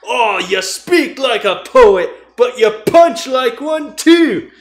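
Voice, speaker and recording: A man acts out a boisterous, lowbrow tabletop fighter who loves drinking and brawling. He taunts in a theatrical, drawn-out delivery, pitched up high. The room echoes around him. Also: animated, exaggerated voice. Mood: boisterous taunting. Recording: roomy echoing podcast recording.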